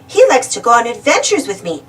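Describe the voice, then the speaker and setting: A woman's voice: a little high, wildly swooping pitch, a little fast, far from the mic, low-quality recording. A woman reading a storybook aloud to young children, expressively and addressing the listeners directly. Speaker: storybook reader.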